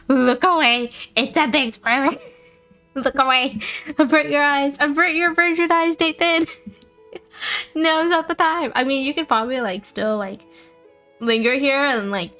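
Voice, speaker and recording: Female game streamer, bright higher voice, chatty and playful, faint music behind. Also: normal speed. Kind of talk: casual live-stream chat.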